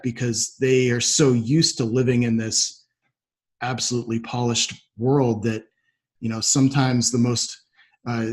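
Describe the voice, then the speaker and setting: A man hosting a conversational podcast interview, agreeable and thoughtful, rambling a little as he develops his points. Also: mid-range voice. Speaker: podcast host.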